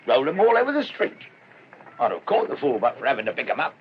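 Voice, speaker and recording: An actor playing an indignant London greengrocer, blunt and colloquial, in a radio drama. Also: male voice, medium pitch, wildly swooping pitch, fast. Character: gruff, indignant male London greengrocer, quick to anger. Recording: dull lo-fi with background noise.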